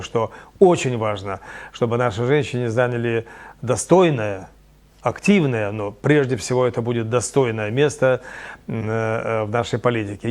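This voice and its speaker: A man speaking as a studio guest on a television discussion, measured and full of hesitation fillers. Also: medium pitch.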